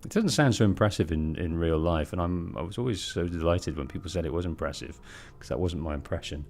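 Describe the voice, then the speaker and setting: A British man with a deep, bassy voice giving measured, polite storytelling commentary as he streams a game, close on the microphone. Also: darkish tone. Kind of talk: let's play commentary, storytelling. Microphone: close bass-heavy streaming microphone.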